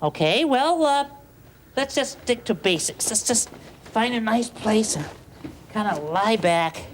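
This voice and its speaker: Gentle childlike bird, noisy tape. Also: male voice, high voice, animated, unhurried. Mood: gentle, musing. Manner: hesitant, rambling, soothing. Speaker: host giving bedtime tips. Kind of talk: children's puppet show monologue. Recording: clearly noisy home video soundtrack.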